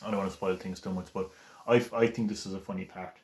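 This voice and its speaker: A man's voice: low-pitched, fairly even intonation, quick pace, roomy sound. An Irish man giving casual, joking commentary while playing a game, swearing at enemies. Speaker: gaming channel host.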